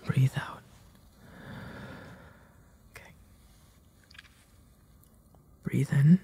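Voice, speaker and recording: A man acting a gentle, protective big brother in an intimate comfort roleplay audio, tender and hesitant, with a breathy, soft delivery. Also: extremely slow. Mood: soothing, calming. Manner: hesitant, tender, soft-spoken, often dropping into breathy whispers.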